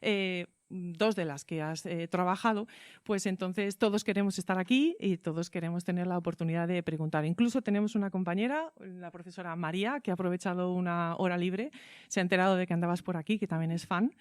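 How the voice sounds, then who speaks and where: A woman's voice: lowish voice, fairly quick, no echo, clean studio sound. A woman with an accent from Spain, speaking informally and a bit hesitantly as a teacher hosting a radio interview. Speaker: teacher and radio workshop host. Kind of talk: informal spoken introduction and interview.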